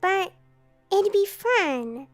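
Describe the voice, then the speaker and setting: Anxious sweet schoolgirl, tiny high voice, unhurried hesitant delivery, visual novel acting, music behind. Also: very animated, full character voice. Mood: playful. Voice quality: very high, girlish. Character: shy, eccentric teenage schoolgirl science club member, sweet and anxious.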